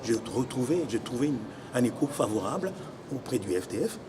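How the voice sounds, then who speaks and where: A young man from Congo who lives in Belgium, giving deliberate, explanatory interview answers as an election candidate. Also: mid-range voice, very animated. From Congo, living in Belgium since 1984. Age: young adult. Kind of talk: semi-formal interview answers. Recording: noisy location with background ambience.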